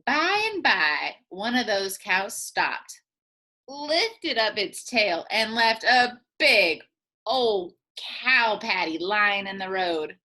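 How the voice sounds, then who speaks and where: A woman teacher reading a children's storybook aloud in an animated way, drawing words out for emphasis. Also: medium pitch, slow.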